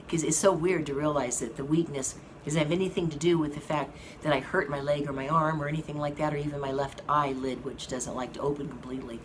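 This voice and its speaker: Hoarse woman, very deep voice, slow halting talk, fan hum behind. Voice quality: slow, halting speech with many fillers. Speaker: vlogger giving a health update. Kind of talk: casual update to viewers. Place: hospital rehab room with an air conditioning fan. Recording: noisy room with fan hum. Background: air conditioning fan.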